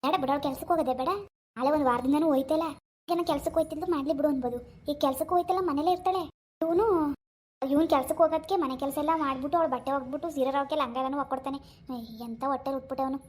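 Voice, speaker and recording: A performer voicing a bitter, stubborn elderly mother-in-law in a comic family skit, grumbling scornfully in rapid-fire complaints. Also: high-pitched, steady tone, close to an everyday voice. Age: older.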